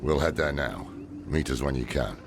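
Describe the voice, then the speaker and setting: Very deep gruff warrior. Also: male voice, expressive, moderate pace, noisy background, heavily acted. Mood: grim. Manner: terse, blunt, commanding. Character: gruff weathered male warrior companion. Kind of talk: video game cutscene acting. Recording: game soundtrack behind voice.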